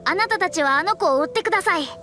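A woman's voice: very high voice, lively intonation, very fast. A woman voicing a proud young astrologer mage in an anime game, speaking politely and precisely with a slightly haughty air. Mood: exasperated. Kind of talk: Japanese anime game voice acting. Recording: game music behind the voice.

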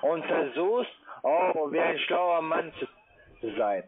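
A man's voice: a little high, lively intonation, slow. A man arguing heatedly on the phone in an insulting, combative, repetitive manner, as a call centre agent. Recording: tinny phone line with busy call-centre chatter. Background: crowd of voices.

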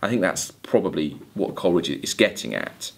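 A man giving a literature lecture, emphatic, halting and deliberate as he corrects himself. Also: deep voice, big pitch swings, creaky, breathy edge. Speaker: college English literature lecturer. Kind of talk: lecture with quoted lines of verse. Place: indoors, recorded video lecture at a desk.

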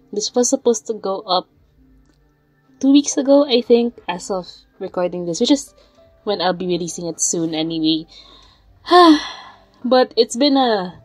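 A young woman with a raspy voice from a lingering cold, chatting in a rambling way as the host of her art channel. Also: mid-range voice, dry recording. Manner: rambling, chatty, with fillers and sighs. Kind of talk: casual chat over drawing footage.

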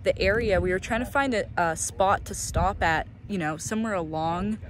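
Young female vlog host, casual and chatty, medium pitch, recorded outdoors with clear background noise. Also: normal speed. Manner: informal, chatty. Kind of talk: casual off-the-cuff exploring commentary. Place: outdoors in open dry lot and street. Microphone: handheld phone at arm's length.

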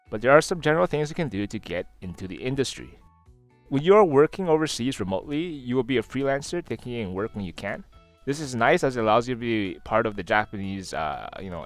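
A man's voice: mid-range voice, dry recording. A man in his twenties with a Canadian accent, giving career advice in a scripted explainer narration. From Toronto, Canada. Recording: music behind the voice.

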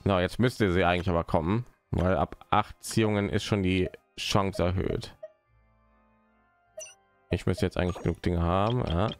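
A man's voice: deep voice, fairly even intonation, normal speed, soft background music. A man, streaming a game and rambling casually, muttering to himself as he plays. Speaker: live streamer. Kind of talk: casual gaming stream commentary.

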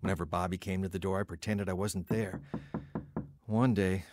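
A man's voice: low voice, steady tone, normal speed, background noise, natural voice. An actor playing a troubled, wavering young man telling his life story in a radio drama. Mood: rueful recounting. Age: young adult. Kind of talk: radio drama narration and dialogue.

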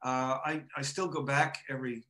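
A man's voice: medium pitch, fairly even intonation, sharp tone. Older guy from montreal, lots of ums and restarts, thoughtful, headset video call from home. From Montreal, Canada. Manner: hesitant and reflective, many pauses and restarts. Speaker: artist and photographer guest. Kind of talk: casual interview conversation. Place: home room with framed photo, indoors.

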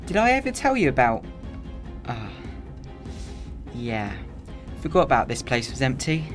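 A man's voice: mid-range voice, wildly swooping pitch, measured pace, over music. A man acting a lonely, regretful immortal in an audio drama, gloomy and understated. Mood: gloomy, bored.

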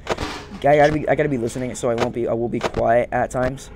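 A man's voice: medium pitch, fairly even intonation, fast, noisy background. A young man giving casual gameplay commentary, rambling and muttering as he talks to the game's characters. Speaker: gaming streamer. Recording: loud game music behind voice.

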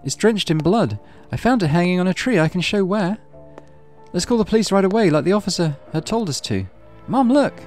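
A man's voice: high-pitched, lively intonation, brisk, a little mellow, faint music behind, voice pushed up. A man voicing a frightened, pleading young schoolboy with an anxious, earnest manner in a visual novel. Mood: urgent pleading.

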